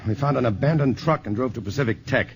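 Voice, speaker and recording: A man's voice: medium pitch, fast, a crowd in the background, close to an everyday voice. An actor playing an urgent, resolute male scientist in a 1950s radio drama. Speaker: physicist. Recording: old radio broadcast with hiss.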